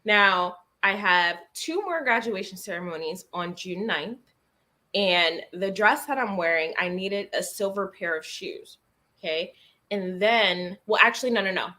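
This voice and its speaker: A young woman with a very bright, forward voice at an average pitch. She tells everyday stories in an animated, casual way and drops swear words easily. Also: measured pace. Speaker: guest on a group reading livestream. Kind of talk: casual chat.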